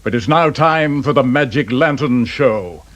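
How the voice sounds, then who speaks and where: A man's voice: mid-range voice, lively intonation, a little slow, lo-fi sound, slight background noise, close to an everyday voice. An actor playing a wry, sarcastic male investigator with a pedantic, teasing manner and a British accent in a radio drama. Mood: wry, instructive.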